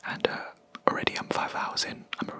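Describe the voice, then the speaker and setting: Very bright, crisp whisper. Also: fairly quick. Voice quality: soft unvoiced whisper throughout. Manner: rambling, hesitant, with many ums and self-corrections. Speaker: video creator. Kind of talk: whispered ASMR gaming chat.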